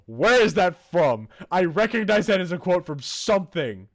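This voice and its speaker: A man's voice: sits high, very animated, normal speed. A man chatting casually on a livestream as a game streamer, enthusiastic and informal in how he talks.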